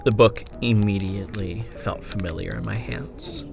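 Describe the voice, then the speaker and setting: A man performing a frontier gunslinger's first-person horror narration, in a hushed, deliberate delivery with drawn-out phrases and swelling emphasis. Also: lowish voice, steady tone, gravelly. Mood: wistful, measured. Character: woman frontier gunslinger recounting her haunted, lost memories. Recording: music bed under the narration. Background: music.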